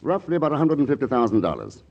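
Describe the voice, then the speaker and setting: An actor playing a suave, glib, courteous gentleman in a 1940s radio comedy. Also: mid-range voice, huge pitch swings, racing, dull audio, exaggerated voice. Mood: suave, persuasive. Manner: polished, courteous, smooth-talking. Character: suave, glib gentleman stranger charming an engaged couple. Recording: vintage 1940s radio broadcast.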